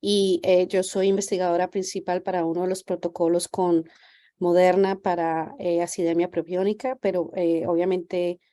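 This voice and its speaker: A woman's voice: fairly low pitch, level tone, moderate pace, warm tone. A woman physician welcoming parents on a video call, speaking hesitantly with many fillers. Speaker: pediatric geneticist giving a talk.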